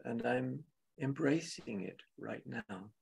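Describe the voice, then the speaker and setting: A man guides a meditation in a very husky, breath-worn voice with heavy creak. He speaks gently and very slowly, leaving long pauses between short phrases, as a teacher feeling out each thought. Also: medium pitch. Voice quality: husky, gravelly. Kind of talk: guided meditation, spoken softly and unscripted.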